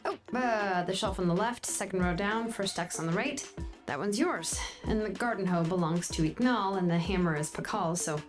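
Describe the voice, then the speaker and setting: An actress playing a laid-back, practical young female blacksmith in an anime game, relaxed and easygoing. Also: mid-range voice, normal speed, natural voice. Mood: matter-of-fact. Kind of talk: anime game character acting. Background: soft game music.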